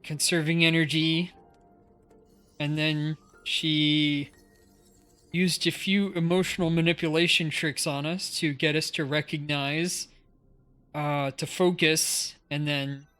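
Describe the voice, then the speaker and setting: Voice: mid-range voice, a bit bright. A game streamer giving casual live commentary and reading aloud.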